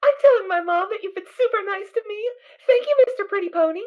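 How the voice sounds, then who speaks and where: A woman voicing a cheerful, naive little girl pony foal who talks in a bubbly, excitable way. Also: very high voice, quick pace, lo-fi sound. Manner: bubbly, sing-song, excitable.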